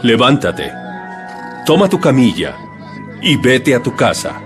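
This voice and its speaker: Audio Bible drama role: male actor as a calm, authoritative teacher, measured, mid-pitched and varied in melody, over music. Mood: firm.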